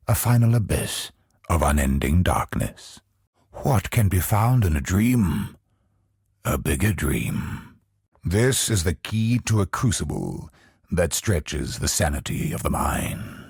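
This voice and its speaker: An actor voicing a brooding, grandiose godlike villain in a video game, husky and gravelly, with an ominous, deliberate delivery. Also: male voice, low-pitched, a little mellow, dry recording, clean studio sound, heavily acted. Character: godlike male cosmic villain, brooding, grandiose, obsessed with perfection. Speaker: video game boss character.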